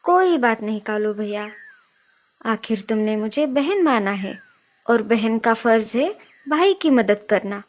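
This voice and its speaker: A woman's voice: on the high side, animated, slow pace. A woman voicing a caring, eager little female bird who reassures others in a children's cartoon story. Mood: reassuring. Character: helpful, caring little female sparrow, loyal sister.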